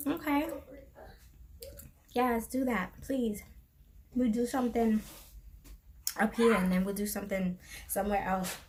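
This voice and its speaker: A chatty middle-aged woman hosting a casual live stream with her daughter. Her voice sits fairly high, and she laughs easily as she tells her stories. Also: fairly even intonation, measured pace, pauses often, darkish tone, slight background noise. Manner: chatty, laughs often while telling stories.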